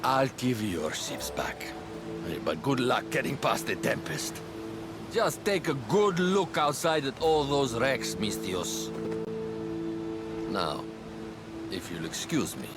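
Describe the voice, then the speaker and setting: A man's voice: medium pitch, very animated, over music. An actor playing a shifty, gloating trader in a video game, drawing his words out theatrically. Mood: smug. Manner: theatrical, drawn-out delivery. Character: shifty, gloating male trader who prizes valuables. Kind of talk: video game cutscene acting.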